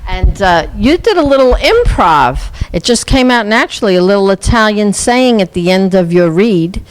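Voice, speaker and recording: A woman hosting a TV interview, leading her guest with encouraging questions and quick affirmations. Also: medium pitch, expressive, poor audio. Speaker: TV host interviewer. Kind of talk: interview conversation.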